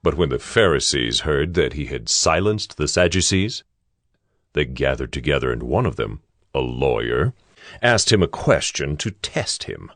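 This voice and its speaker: A man narrating scripture in a deliberate, storytelling way. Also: rumbling low, very animated, a bit bright, no echo, clean studio sound.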